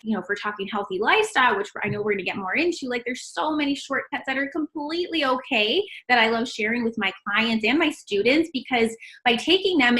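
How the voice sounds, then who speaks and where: A woman's voice: a little high, a bit bright, poor audio. A woman dietitian chatting cheerfully in an interview over a call, laughing often. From Canada. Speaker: registered dietitian guest.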